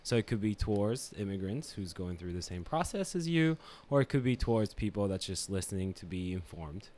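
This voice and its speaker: Male podcast host, low voice, relaxed and casual. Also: brisk. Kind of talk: casual chat.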